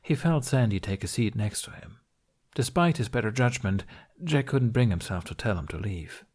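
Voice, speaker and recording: Low-voiced man narrating a story. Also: expressive, normal speed, a little mellow. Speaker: podfic narrator reading fan fiction. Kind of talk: scripted audiobook-style fantasy narration.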